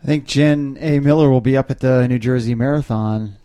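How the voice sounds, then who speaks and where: A man chats casually as a podcast co-host. His voice is mellow and mid-pitched. The recording is close and dry, with no room sound. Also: brisk, no echo. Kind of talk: casual banter.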